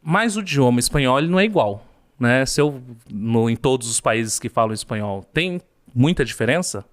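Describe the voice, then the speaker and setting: A man's voice: mid-range voice, lively intonation, moderate pace. A man hosting a casual interview chat, agreeing often and asking follow-up questions. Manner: conversational, affirming, asks follow-up questions. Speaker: host.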